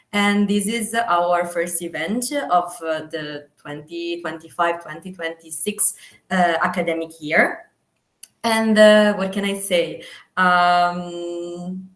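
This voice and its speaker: A woman's voice: fairly low pitch, reverberant. A young woman, a student association president, introducing an event with many hesitant fillers. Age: young adult.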